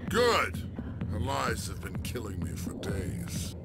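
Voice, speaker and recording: A man playing a bitter, drunken old alien general in a video game, grumbling in a gravelly, slurred voice that swings from bark to mutter. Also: on the low side, big pitch swings, slow, loud music behind the voice, full character voice. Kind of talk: video game cutscene acting.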